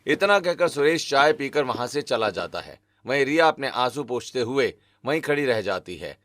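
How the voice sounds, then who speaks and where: A man narrating a cartoon family story in a steady, explanatory way. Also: mid-range voice, moderate pace, dry recording, soft background music.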